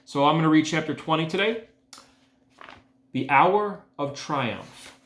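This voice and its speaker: A man's voice: a little high, unhurried, fairly bright tone. A man, an educator, talking casually to students and narrating a children's storybook aloud. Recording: echoey room.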